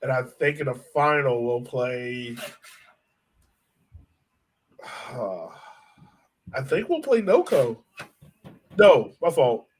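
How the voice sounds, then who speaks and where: A man's voice: mid-range voice, big pitch swings, slow pace, pauses often, husky. A man co-hosting a soccer podcast in casual, slangy, conversational sports chat.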